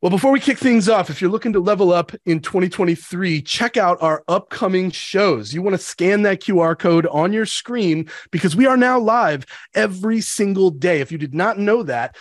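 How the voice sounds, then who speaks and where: A man hosting a live sales webinar, sounding stuffy from a cold and pitching with energetic hype. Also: no echo, clean studio sound. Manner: energetic, hyped promo delivery. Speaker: show host.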